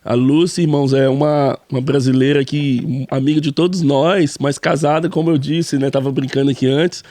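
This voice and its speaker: Pastor co-hosting a church podcast. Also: male voice, medium pitch, steady tone, moderate pace, warm tone, dry recording. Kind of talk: casual church podcast chat.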